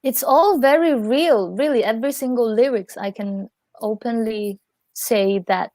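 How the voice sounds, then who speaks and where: Laughing woman, higher voice. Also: measured pace, a little mellow, no room sound. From Italy. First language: Italian. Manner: chatty, laughs often. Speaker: guest singer. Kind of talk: casual interview chat.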